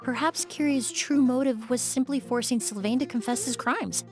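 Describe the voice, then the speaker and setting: A woman's voice: on the high side, normal speed, music in the background, natural voice. An actress playing a composed, analytical young woman investigator in a video game, earnest and articulate. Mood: pondering. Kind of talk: video game character acting.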